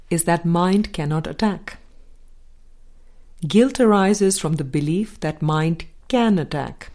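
A woman reading a spiritual book aloud as scripted narration, in measured, deliberate phrases with pauses. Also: fairly low pitch, a little mellow. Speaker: audiobook reader.

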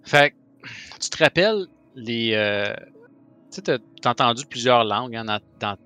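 A man's voice: medium pitch, lively intonation, measured pace, bright, forceful tone, clean tone. Chatty Quebec French man running a game. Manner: chatty, many fillers and restarts. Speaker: game master. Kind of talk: narrating a tabletop game.

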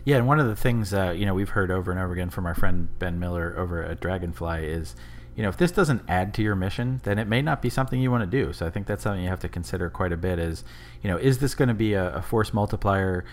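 Fast-talking deep-voiced man co-hosting a podcast. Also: animated. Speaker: podcast co-host. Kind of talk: conversational podcast discussion.

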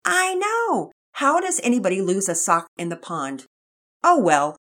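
A woman voicing a gentle, kindly mother who chats and reassures a friend. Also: mid-range voice, sing-song, a little slow. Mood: chatty. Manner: sweet, reassuring. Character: gentle, kindly church mother, Mama Peters.

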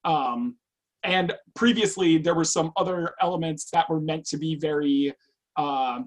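A man, a playwright, discussing his writing casually in a halting, explanatory way. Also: a little high.

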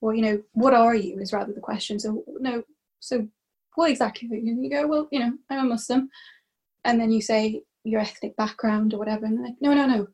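A woman's voice: a little high, a little fast, a little mellow. A woman from Ireland, a researcher and guest, telling stories in a chatty way and acting out what other people said. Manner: chatty, anecdotal, acts out quoted voices. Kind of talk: panel discussion on a video call.

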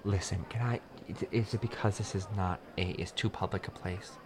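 A woman playing an evasive, over-polite school mother with halting speech in an improvised radio play. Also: rumbling low, steady tone, noisy background. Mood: pleading.

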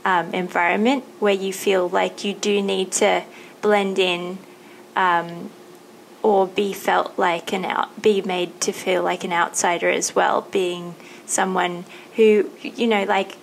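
A woman's voice: medium pitch, flat delivery, takes it slowly, creaky, slight background noise, low-quality recording. A woman hosting a podcast interview, chatting casually with many fillers and long rambling turns. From Thailand. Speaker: podcast host.

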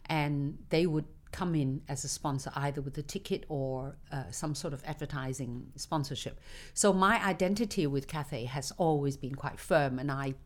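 Older woman with a low voice. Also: normal speed. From Hong Kong. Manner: anecdotal, laughs between stories. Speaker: singer interviewed as guest. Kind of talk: reminiscing interview.

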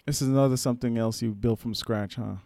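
A man's voice: medium pitch, steady tone, fast, vocal fry, mellow, a little hiss or hum. An American man hosting a casual interview, informal and attentive, chiming in with short responses. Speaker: interviewer, host.

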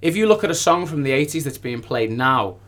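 A man's voice: mid-range voice, big pitch swings, fairly quick, fairly bright tone. A man who is a recording artist, chatting casually and informally in a studio interview, often repeating phrases for emphasis.

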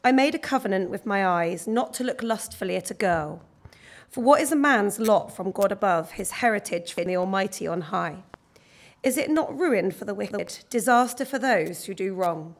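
A woman with a husky voice reads scripture aloud in church. Her reading is steady and measured as she works through a long passage. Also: mid-range voice. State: husky from singing. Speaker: scripture reader.

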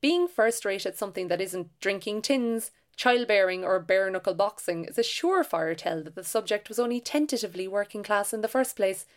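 A woman's voice: mid-range voice, animated, brisk, few pauses. A woman reading her own essay aloud in a sardonic, wordy manner.

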